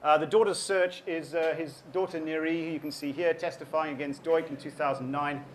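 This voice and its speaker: A South African man in his forties speaks at a book event, a fairly high voice for a man. He talks in a thoughtful way, with many ums and restarts as he gathers his thoughts. A faint hiss of the room sits under him. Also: fairly even intonation, fairly quick. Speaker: author giving a book talk. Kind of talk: public talk to an audience.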